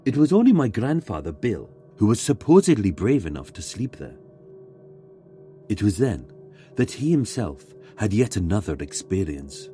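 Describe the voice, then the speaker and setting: Low, mellow male storyteller, dramatically paced. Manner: dramatic, measured storytelling with pauses for suspense. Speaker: guest narrator reading a submitted ghost story. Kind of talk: scripted narration of a true ghost story.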